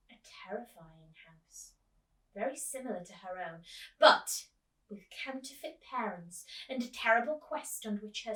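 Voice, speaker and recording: A woman doing an expressive storytime read-aloud, speaking to young listeners as a teacher would. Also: medium pitch. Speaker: teacher reading aloud to children. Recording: echoey room, distant mic.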